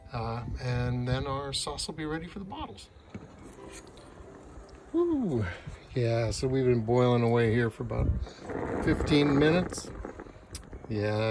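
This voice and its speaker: An older man hosting a home cooking show, explaining each step in a relaxed way with frequent pauses, in a kitchen and then out at a backyard grill. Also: on the high side. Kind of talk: casual cooking demonstration. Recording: music playing underneath.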